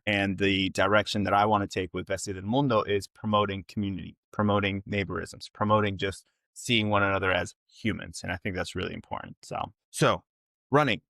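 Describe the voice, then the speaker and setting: A man's voice: fairly low pitch, a bit bright, no echo. A young man from Ecuador and North Carolina talking casually and directly to viewers as he hosts a solo livestream. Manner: informal, addresses viewers directly with frequent check-ins. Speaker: content creator hosting a livestream. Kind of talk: casual livestream monologue to camera.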